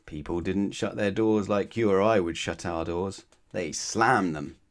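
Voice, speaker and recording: A man's voice: deep voice, unhurried. A man narrating a children's bedtime story expressively, putting questions to his young listeners. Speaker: storybook reader.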